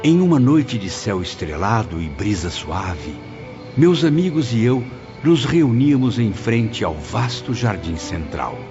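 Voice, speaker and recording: A man's voice: on the low side, big pitch swings, measured pace. An actor playing a humbled, marveling male spirit who narrates his own story dramatically and reverently in an audiobook. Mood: calm. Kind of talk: first-person audiobook narration. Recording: muffled, clear background music.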